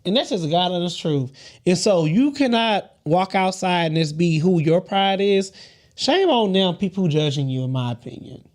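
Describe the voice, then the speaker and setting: A man with a light voice, co-hosting a podcast and telling stories in a sassy, animated way, laughing often and swearing freely. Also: high voice, moderate pace. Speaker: podcast co-host. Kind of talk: casual group chat, storytelling.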